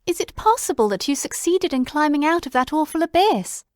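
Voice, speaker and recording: An excitable male doctor friend played by a woman speaks in high, breathless exclamations in an audiobook drama. The voice is raised well above her narrating voice and recorded close and dry. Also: a little fast, no echo. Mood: astonished.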